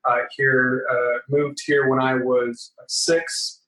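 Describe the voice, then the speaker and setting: Earnest man, flat, heard from a distance. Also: medium pitch, takes it slowly. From Philippines. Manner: earnest, repeats words as he starts phrases. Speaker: podcast guest, real estate investor. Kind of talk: conversational interview. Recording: roomy, distant sound.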